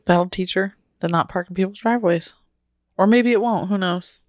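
A woman co-hosting a casual podcast, reacting bluntly to stories with quick comments and casual swearing. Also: mid-range voice, no room sound, studio quality. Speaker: podcast co-host.

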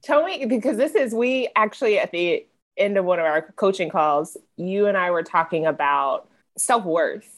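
A woman's voice: medium pitch, animated, normal speed, few pauses. A woman hosting a podcast interview as a financial coach, chatting in an encouraging way and laughing easily with her guest. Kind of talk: conversational interview.

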